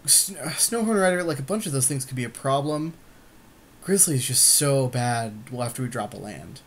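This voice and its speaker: A man's voice: mid-range voice, lively intonation, normal speed. A man co-hosting a podcast, casually and agreeably talking through a card game with his partner. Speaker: podcast co-host. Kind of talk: casual chat while playing a card game. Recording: clear background noise.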